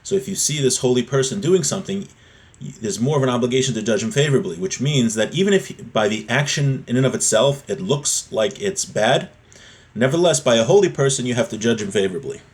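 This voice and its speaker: A man's voice: medium pitch, animated, brisk. A man giving an informal religious text lesson as a teacher, explaining and restating points with everyday examples.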